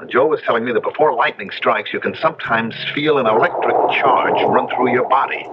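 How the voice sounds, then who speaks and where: An actor playing an anxious, superstitious young American husband in a 1970s radio drama, speaking with urgent foreboding. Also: male voice, mid-range voice, fairly quick, close to an everyday voice. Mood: tense, cautioning. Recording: noisy, muffled old radio broadcast.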